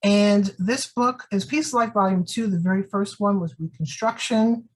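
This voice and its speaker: New York-accented woman poet, gentle reading voice, mid pitch. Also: normal speed. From Queens, New York. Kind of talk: poetry reading.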